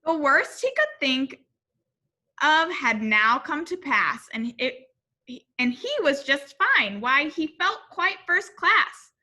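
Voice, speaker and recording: A woman reading a picture book aloud in an animated, expressive way, as if to young children. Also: sits high, slow. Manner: expressive, addressing young listeners.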